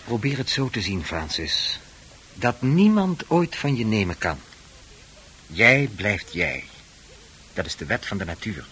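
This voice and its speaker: A man playing a calm, persuasive psychiatrist with reassuring authority in a radio drama. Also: on the low side, measured pace, long pauses, breathy edge, exaggerated voice. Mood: reassuring, firm. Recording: hissy old radio drama recording.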